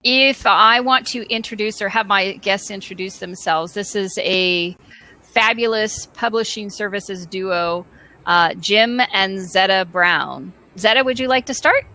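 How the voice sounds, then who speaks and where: A woman hosting a casual interview as a publisher, chatty and quick to laugh. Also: medium pitch, bright, forceful tone, low-quality recording. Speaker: host, publisher. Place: home, phone ringing nearby.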